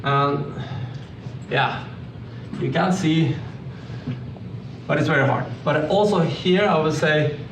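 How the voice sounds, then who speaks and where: Man, slightly high voice, halting technical presenter talking through slides; echoey room with clear noise. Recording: echoey room, distant mic, clearly noisy.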